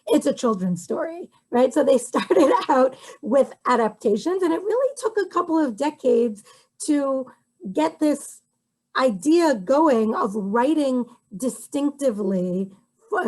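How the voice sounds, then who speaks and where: A woman's voice: a little high, rough recording. A woman scholar explaining a topic in an interview over a video call, articulate and lecture-like. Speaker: guest scholar and translator. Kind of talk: conversational interview answer.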